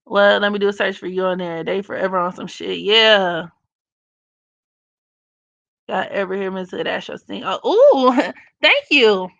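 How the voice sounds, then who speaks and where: Raspy young woman chatting on her live stream, bright edgy tone, blunt and swears a lot, kinda muffled dull audio. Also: mid-range voice, lively intonation, measured pace, long pauses, no echo. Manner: blunt, profane and opinionated, laughs often. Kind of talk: casual live stream chat reading comments.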